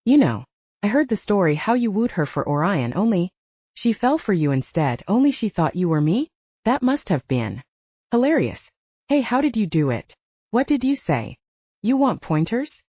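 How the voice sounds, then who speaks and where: A woman's voice: medium pitch, big pitch swings, normal speed, mellow, slightly rough, no room sound. A woman voicing a big, insecure teenage younger brother in an audiobook, earnest, blurting and awkward. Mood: awkward, pleading. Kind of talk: audiobook character dialogue. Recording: dull, slightly muffled audio.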